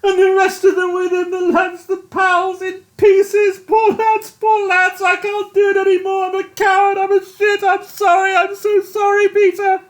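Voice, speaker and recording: A narrator voicing a young British army captain who is shattered and sobbing, in a high, wailing, breaking voice. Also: sing-song, fairly quick, hardly any pauses. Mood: distraught. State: crying.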